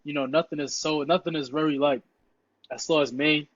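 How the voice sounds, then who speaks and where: A man's voice: a little high, fairly even intonation, fairly quick, dry recording. Brief: young New guy, easygoing guest, constant "you know" filler, muffled lo-fi, dead-dry room. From Queens, New York. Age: young adult. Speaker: podcast guest, high school football player. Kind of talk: casual interview chat. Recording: dull, dry lo-fi sound.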